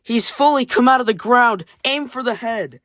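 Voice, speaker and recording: A casual reader voicing excitable comic-book adventurers with dramatic, exclaimed dialogue. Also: medium pitch, moderate pace, no echo, pitched above the performer's own voice, lightly acted. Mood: urgent, dramatic.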